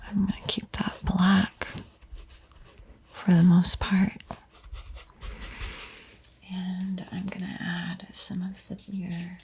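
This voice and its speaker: A woman whispering an ASMR painting tutorial in a breathy, soothing, gently encouraging way. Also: on the low side, flat delivery, slow, long pauses, a little hiss or hum, rough recording. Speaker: art tutorial host.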